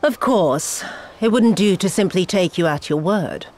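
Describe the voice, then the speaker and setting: An actress playing a cruel, sadistic imperial official in a video game, mocking and theatrical in her drawn-out delivery. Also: lowish voice, animated, darkish tone, slight background noise. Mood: cold, mocking.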